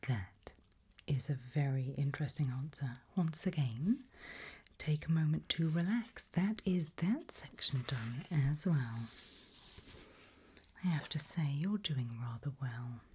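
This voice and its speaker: A woman whispering in a breathy, hushed and deliberate ASMR style, putting questions to the listener. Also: deep voice, expressive, a little hiss or hum. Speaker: ASMR host.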